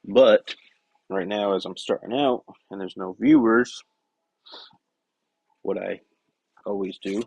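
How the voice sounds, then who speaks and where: A young man chatting casually on a work-shop live stream, rambling and correcting himself, his voice hoarse and scratchy from a cough. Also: fairly low pitch, no room sound. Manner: rambling, self-correcting, soft-spoken asides. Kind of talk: casual live-stream chat while working.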